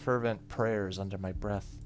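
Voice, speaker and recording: A man's voice: on the low side, fairly even intonation, unhurried. A man playing a horror game, reading its story text aloud dramatically and commenting casually. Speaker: gaming YouTuber. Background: game music.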